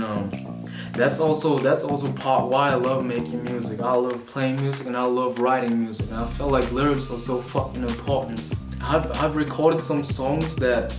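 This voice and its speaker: A man in his twenties, born in Vietnam, rambling casually to a camera about music, self-conscious and swearing now and then. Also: mid-range voice. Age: 20s. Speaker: vlogger and musician. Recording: roomy sound with music underneath.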